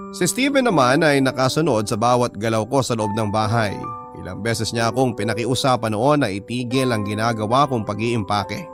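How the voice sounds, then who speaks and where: A man with a Filipino accent narrating a personal drama story in the first person, as a storyteller reading a letter. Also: medium pitch. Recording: music bed under the voice. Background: prominent background music.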